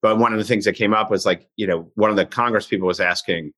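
A fifties man with a somewhat low voice who hosts in an easygoing, thoughtful way. He often lets his sentences drift before he picks them back up. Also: fast talker. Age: 50s. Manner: relaxed, musing, trailing phrases. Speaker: podcast host. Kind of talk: conversational podcast interview.

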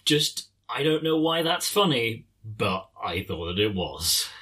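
A man's voice: medium pitch, sing-song, measured pace, pushed and bright. An English man in casual conversation about a film, thoughtful and hesitant, often trailing off mid-sentence. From England.

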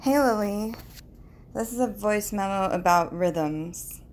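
A woman's voice: mid-range voice, slow pace, darkish tone, slight background noise. A woman in her thirties speaking casually and reflectively, as if recording a personal voice memo, with some hesitation. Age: 30s.

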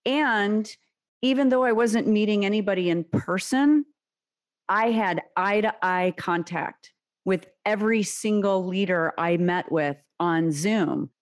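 Woman, executive guest, measured and reflective, middle pitch. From United States. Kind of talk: business interview.

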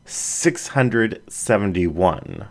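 A man's voice: lowish voice, very animated, extremely slow, exaggerated voice. A man voicing a confident, playful female border collie in an audiobook reading. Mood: frank. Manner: playful, frank. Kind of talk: storybook reading.

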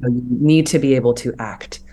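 Woman, thirties, voice actor guest, earnest, reflective, animated at times. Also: rumbling low, brisk, slight background noise. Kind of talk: interview conversation.

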